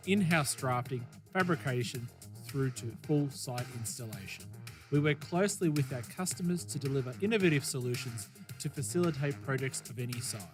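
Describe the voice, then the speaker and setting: A man reading a radio advertisement in a measured, formal way. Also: mid-range voice. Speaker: advertisement announcer. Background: faint music.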